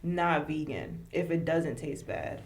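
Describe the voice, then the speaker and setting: A woman's voice: low-pitched, slow pace. A young woman hosting a podcast, chatting candidly and casually with her best friend, cursing now and then. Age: young adult. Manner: candid, casual, swears freely. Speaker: podcast host. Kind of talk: casual chat.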